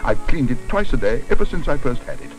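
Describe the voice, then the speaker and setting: A man's voice: mid-range voice, very animated, fast talker, over music, voice pushed up, natural voice, rough recording. A man voicing a polite, earnest young bear who takes things literally, in a children's storybook. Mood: worried.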